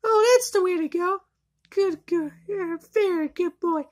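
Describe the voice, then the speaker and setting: A woman's voice: very high voice, unhurried. A woman voicing an eager, cheerful young girl novice witch in an amateur anime fandub, earnest and full of exclamation. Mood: pleased.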